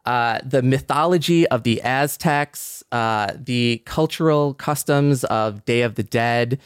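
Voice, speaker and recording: A man with an American accent talks in a reflective, conversational way. His voice is smooth and medium-pitched, recorded very dry. He is earnest, often halting and repeating himself as he thinks aloud. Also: no room sound. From metropolitan Detroit, United States. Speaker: podcast panelist.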